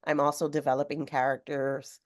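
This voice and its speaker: A woman with a notably low voice speaks on a video call from her home. She is slightly hoarse from a cold and talks in a thoughtful, unhurried way with occasional fillers. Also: flat delivery. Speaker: author guest. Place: home room with sewing machine. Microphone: video call webcam.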